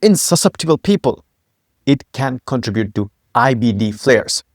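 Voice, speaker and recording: A man's voice: medium pitch, expressive, normal speed, no room sound, clean studio sound. Nepali-born man narrating a gut-health explainer. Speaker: health channel founder and host. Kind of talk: scripted educational narration.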